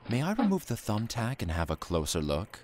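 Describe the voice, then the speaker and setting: A mysterious door-to-door salesman with a very deep voice that swings widely in tone, as a man acts him out. His speech is formal and courteous, as in an audio drama. Faint room ambience sits behind him. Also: huge pitch swings, brisk, darkish tone, slight background noise. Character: mysterious polite male salesman dealing in calendars.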